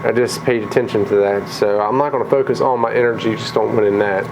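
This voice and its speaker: A man with a cold, a little raspy and tired, chatting casually through a coin pusher vlog in an arcade. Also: on the low side, fast, noisy background. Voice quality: slightly mumbled from a lozenge. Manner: chatty, excitable at wins. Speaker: vlogger.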